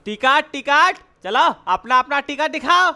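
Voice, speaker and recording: A man's voice: extremely high pitch, animated, measured pace, noisy background, full character voice. A man voicing an officious, impatient train ticket inspector in a cartoon, giving curt, commanding orders. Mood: stern. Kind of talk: exaggerated cartoon dialogue.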